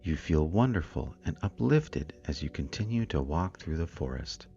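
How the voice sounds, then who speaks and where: A man narrating a scripted guided meditation in a calm, soothing, deliberate manner. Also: rumbling low, vocal fry, lo-fi sound. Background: meditation music with singing bowls.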